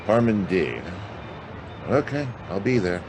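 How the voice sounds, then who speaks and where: A man's voice: fairly low pitch, lively intonation, normal speed, lightly acted. A man playing a wry hard-boiled private detective in a radio drama, with sardonic, clipped, slangy delivery. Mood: wary, wry. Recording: noisy track with outdoor city ambience.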